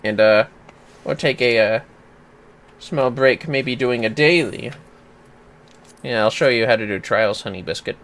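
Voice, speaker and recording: A man's voice: medium pitch, unhurried, pauses often, fairly bright tone. A man hosting a livestream, casually commenting on a game and explaining how to play it. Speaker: livestream host, gamer. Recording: game music behind the voice. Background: game music.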